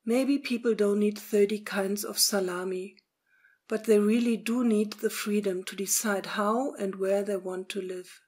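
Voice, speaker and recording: A woman's voice: medium pitch, steady tone, a little slow, a little mellow, breathy edge. A woman giving a scripted first-person reminiscence as a witness. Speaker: witness recalling past experience.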